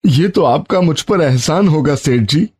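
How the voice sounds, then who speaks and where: An actor voicing a humble, honest poor labourer in an animated moral story, speaking in an earnest, deferential and emotive way. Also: male voice, a little high, unhurried, breathy edge. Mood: humble, grateful.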